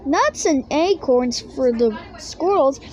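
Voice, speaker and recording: Woman, high voice, slow halting read-aloud, children's storybook, occasional stumbles. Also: animated, darkish tone, a little hiss or hum. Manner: halting reading with stumbles and misread words. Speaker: storybook reader.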